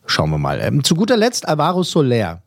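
Male radio host, gravelly and husky, dry studio mic, casual film chat. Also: on the high side, huge pitch swings, dry recording. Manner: brisk, chatty, many fillers.

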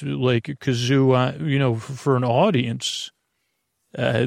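A man hosting a podcast with a meandering, halting, digressive bedtime monologue. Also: mid-range voice, vocal fry, darkish tone, dry recording, clean studio sound. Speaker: podcast host.

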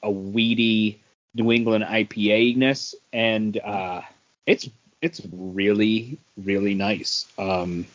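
A man's voice: lowish voice, steady tone, slow. A man chatting casually as a co-host on a podcast. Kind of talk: casual chat, describing drinks and comics. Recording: dull, lo-fi audio.

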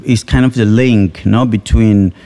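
A man from Venezuela who speaks English as a second language, talking slowly and hesitantly as an interview guest. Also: on the low side, fairly even intonation, warm tone. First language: Spanish. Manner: slow and hesitant, tag questions. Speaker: guest musician and sound engineer. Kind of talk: relaxed interview talk.

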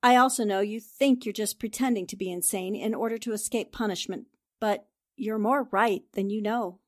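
A woman playing a shrewd, composed newspaper reporter, measured and accusatory, in 1940s-style radio drama. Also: mid-range voice, natural voice. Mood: firm, knowing.

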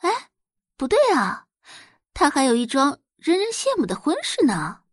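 A woman's voice: high-pitched, wildly swooping pitch, measured pace, no room sound, clean studio sound, voice pushed up, full character voice. A woman playing a petulant, spiteful wealthy wife in an audio drama, complaining and sneering in an exclamatory way. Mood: doubtful.

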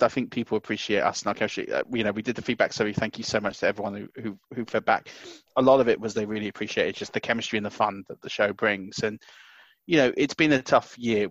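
A man with a lowish voice talks loudly and eagerly, tripping over his words and restarting sentences as he co-hosts a podcast. The sound is dry and slightly dull, recorded at home in a small house. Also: dry recording. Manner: excitable, stumbling restarts, loud. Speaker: podcast co-host. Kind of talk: casual podcast chat.

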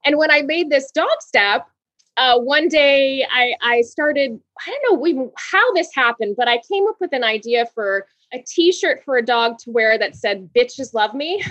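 A woman in her forties from Hawaii, a guest telling her story in an interview, bubbly and quick to laugh, restarting words. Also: high-pitched, expressive, a bit bright, no room sound.

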